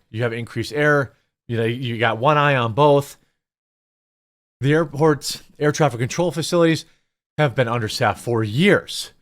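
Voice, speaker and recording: Male commentator, mid-range voice, blunt, opinionated, off-the-cuff news reaction, rhetorical questions. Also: mid-range voice. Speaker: host of a news commentary show. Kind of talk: off-the-cuff opinion commentary on news, partly reading articles aloud.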